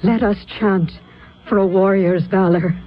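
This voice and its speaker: An actress in a radio drama playing a kindly, composed mentor in witchcraft, speaking in a measured, formal, reassuring way. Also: medium pitch, steady tone, natural voice. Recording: muffled, noisy radio broadcast.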